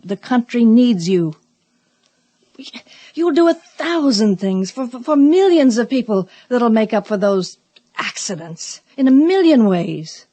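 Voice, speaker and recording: A devoted, steely middle-aged wife in a radio drama. Her voice is husky and mid-pitched, with emphatic, theatrical phrasing. It is recorded dry, with hardly any room sound. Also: dark tone, no echo.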